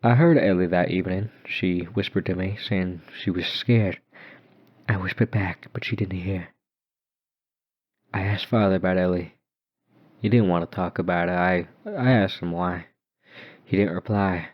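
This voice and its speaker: A man's voice: low voice, normal speed, long pauses. A man narrating a horror story dramatically in the first person. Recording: dull muffled lo-fi recording.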